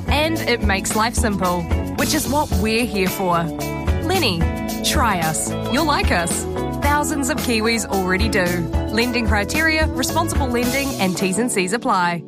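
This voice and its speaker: Friendly woman advert voice over loud music. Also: fairly high pitch, animated, measured pace. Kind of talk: upbeat radio advert voice-over.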